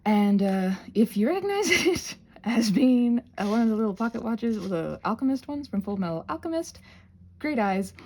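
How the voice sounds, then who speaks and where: Whimsical rambling woman with very animated pitch. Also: moderate pace, slight background noise. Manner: whimsical, theatrical, self-interrupting, talks to objects. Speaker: craft video creator demonstrating butterfly wing prep. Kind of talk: rambling casual craft tutorial with asides to self. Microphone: phone recording.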